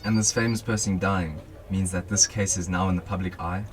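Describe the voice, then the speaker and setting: A man's voice: deep voice, fairly even intonation, brisk, heavy background noise, lightly acted. A young man playing a guarded, dry civilian helping police in a radio drama. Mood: questioning. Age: young adult.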